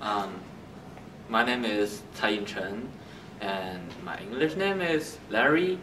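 Young Chinese-accented man, lively pitch. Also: slow. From Beijing, China. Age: teens. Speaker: exchange student. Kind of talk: self-introduction to camera. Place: indoor school room. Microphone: camera mic at a distance. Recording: roomy, distant mic, noisy background.

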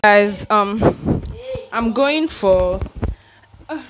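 A woman's voice: medium pitch, animated, drawn-out words. Casting: young Nigerian woman, informal student vlogger, chatty and repetitive, phone-recorded with background noise. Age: early 20s. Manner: informal, repeats herself, some Pidgin. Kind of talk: casual vlog chat.

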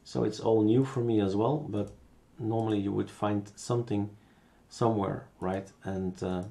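A man with a Belgian accent giving a casual, unscripted software tutorial, thinking aloud as he works. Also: on the low side, fairly even intonation, moderate pace, vocal fry.

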